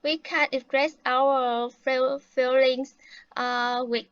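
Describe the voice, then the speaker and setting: A teenage girl with a Vietnamese accent acts out a polite guest on a talk show. Her voice sits high and moves slowly through rehearsed lines, with small hesitant fillers. The recording is dry and slightly muffled. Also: level tone, smooth, dry recording. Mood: earnest. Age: late teens. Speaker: student playing a guest from Thailand. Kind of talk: scripted classroom role-play.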